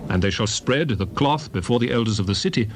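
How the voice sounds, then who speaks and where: A man giving a solemn, declarative, dramatized reading of King James scripture in measured phrases. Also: medium pitch, lively intonation, a little hiss or hum. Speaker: Bible reader.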